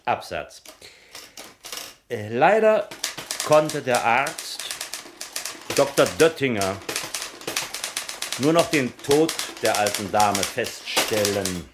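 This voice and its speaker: A small-town editor in an old German radio drama. He is measured and self-important, taking his time over each phrase. The recording is noisy. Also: medium pitch, sing-song. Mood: dictating, businesslike. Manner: measured, precise, polite. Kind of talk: 1960s German radio play acting.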